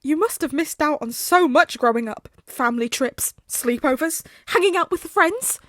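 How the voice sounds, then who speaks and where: An earnest young hero as a woman reader plays him, gushing and dramatic. The voice sits high above the reader's own and pushes out loudly. It suits an audiobook-style fan story, recorded dry and close. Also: lively intonation, normal speed, no echo. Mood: eager, chummy. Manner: effusive, dramatic monologuing.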